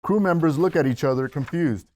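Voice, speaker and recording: A man's voice: on the high side, fairly quick, a little mellow. A man reading out stage directions as scripted narration at a screenplay table read.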